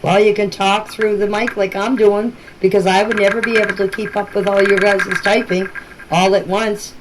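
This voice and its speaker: A woman's voice: medium pitch, level tone, moderate pace. Insistent middle-aged woman, noisy voice message. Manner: insistent, plain-spoken, repeating herself. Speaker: local resident answering questions in a group chat. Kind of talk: informal voice messages. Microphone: voice messages recorded for a group chat. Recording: noisy amateur voice-message clips.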